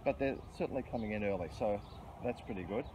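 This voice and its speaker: A man's voice: on the low side, quick pace, dark tone. Middle-aged man fishing outdoors. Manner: chatty and instructive, with frequent ums and restarts. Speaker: fishing vlogger. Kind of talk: casual outdoor fishing commentary. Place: riverbank outdoors by a bridge. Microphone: handheld camera close-up. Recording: noisy outdoor riverside recording.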